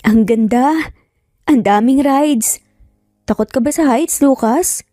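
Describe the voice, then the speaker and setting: A woman's voice: high-pitched, takes it slowly, dark tone, no room sound, clean studio sound. A Filipino woman voicing a sassy, playful young woman in love with cheeky, bantering delivery. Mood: excited. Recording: music behind the voice.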